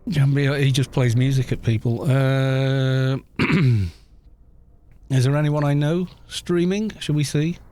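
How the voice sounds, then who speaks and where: A man's voice: mid-range voice, steady tone, takes it slowly, darkish tone, smooth. A man chatting casually on a game livestream, rambling along with self-deprecating good humour.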